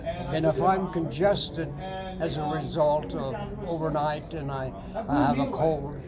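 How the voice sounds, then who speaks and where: A very old man speaks slowly from inside a sauna, with loud crowd noise behind him. His voice sits a little high for a man, and he halts often with fillers and restarts. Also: steady tone. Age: older. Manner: halting, many fillers and restarts. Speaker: sauna customer. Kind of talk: casual testimonial chat. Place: indoors, seated in a sauna.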